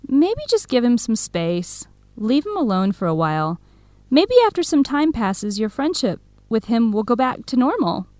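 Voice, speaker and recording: A woman's voice: fairly high pitch, very animated, moderate pace, a little mellow, dry recording. An actress playing a candid, concerned young woman friend, questioning bluntly and expressively in an English-learning radio drama.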